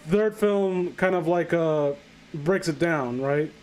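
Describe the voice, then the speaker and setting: A man's voice: on the high side, slow pace, a little mellow, clean tone. A man hosting a live stream, chatting casually and bluntly about films, rambling and swearing as he gives opinions.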